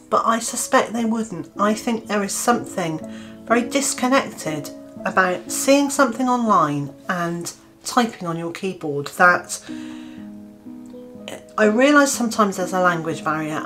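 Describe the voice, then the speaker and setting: A woman's voice: mid-range voice, lively intonation, a little slow, fairly bright tone, soft background music. A British woman in her fifties, a gardening channel host, giving an earnest opinion piece to camera with hesitant restarts and fillers. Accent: British English. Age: 50s.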